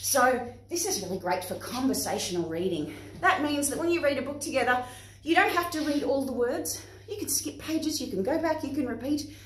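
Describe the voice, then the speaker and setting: High-voiced Australian woman, echoey room. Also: fairly quick, a little hiss or hum. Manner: expressive, instructive, child-directed. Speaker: playgroup facilitator and presenter. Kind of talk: educational talk to young children and parents. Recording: echoey room, distant microphone.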